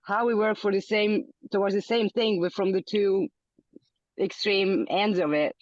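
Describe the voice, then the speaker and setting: A woman's voice: medium pitch, steady tone, unhurried, dry recording. Female guest; searching, repetitive phrasing; dull, dry call audio. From Hungary. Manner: searching, repeats words when thinking. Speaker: podcast guest. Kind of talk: casual interview talk.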